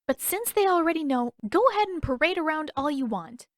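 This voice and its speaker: Woman voicing a stern, exasperated teenage girl, raised pitch. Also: a little fast, dry recording, studio quality. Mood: angry, hushed. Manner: flat, intense, demanding. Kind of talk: audiobook character voice.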